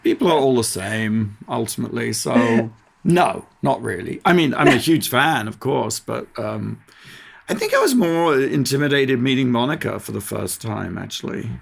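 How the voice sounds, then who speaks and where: Casual man with a creaky voice. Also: mid-range voice, big pitch swings, normal speed. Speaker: podcast guest, TV production company co-founder. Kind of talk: casual interview conversation.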